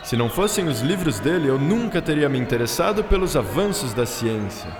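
A man's voice: on the high side, very animated, brisk. A man playing a courteous, proud Brazilian inventor-aviator in a radio drama, explaining science theatrically with French words sprinkled in. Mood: courteous, commanding. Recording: roomy reverberant sound with music behind.

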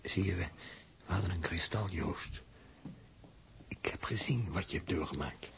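An actor playing a grave, earnest and conscientious friend, speaking hesitantly in a 1960s radio drama. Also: male voice, low voice, normal speed, vocal fry. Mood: sorrowful. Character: sombre, conscientious loyal friend, male. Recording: faint noise, old radio recording.

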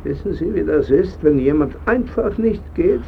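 A man's voice: fairly high pitch, lively intonation, normal speed, vocal fry, phone-quality sound, a little hiss or hum. An actor playing a cantankerous, frail old male painter in a radio drama, grumbling, curt and imperious. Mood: reminiscing. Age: older.

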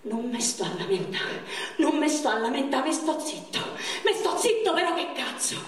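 A woman's voice: sits high, big pitch swings, normal speed. A woman on stage playing a wounded, pleading young man, with a Roman accent and raw, colloquial, repetitive speech. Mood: anguished, pleading. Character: wounded young man of thirty-one, pleading, wistful, foul-mouthed. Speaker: stage actor. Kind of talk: theatrical monologue in dialect. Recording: echoey theatre, distant microphone.

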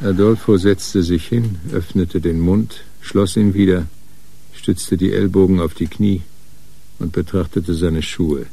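A man narrating a radio crime drama in a measured, matter-of-fact way. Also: sits low, fairly even intonation, vocal fry, warm tone, husky, exaggerated voice. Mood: sober. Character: sober crime story narrator.

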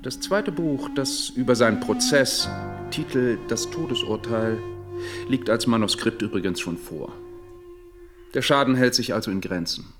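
Music plays clearly behind a man acting a radio-drama publisher. He is dry and dismissive, with a middling pitch and an offhand, sardonic way of talking. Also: a little slow, close to an everyday voice. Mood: brusque, dismissive. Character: dry, dismissive male publisher defending his author.

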